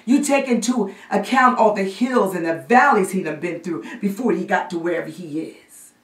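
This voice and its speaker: A woman's voice: mid-range voice, moderate pace, pitched above the performer's own voice, natural voice. A woman playing a stern, loving matriarch reproaching a grown child in emphatic vernacular in a stage drama. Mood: reproachful, anguished. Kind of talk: classic stage drama monologue. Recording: roomy echo, distant microphone.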